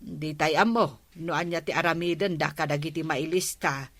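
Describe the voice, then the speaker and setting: A woman's voice: sits low, normal speed, fairly bright tone, no room sound. A woman playing a village captain's wife in a radio comedy drama, speaking politely and earnestly.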